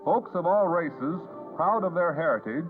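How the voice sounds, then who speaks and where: A man's voice: a little high, animated, a little slow. An American man reading scripted narration as the announcer of a 1950s newsreel. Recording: tinny 1950s newsreel soundtrack, music behind. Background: music.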